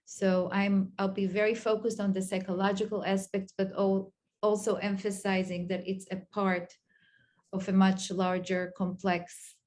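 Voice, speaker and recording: A middle-aged woman from Israel with an Israeli accent, a clinical psychologist giving an online lecture in a halting way with many pauses and fillers. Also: mid-range voice, level tone, darkish tone.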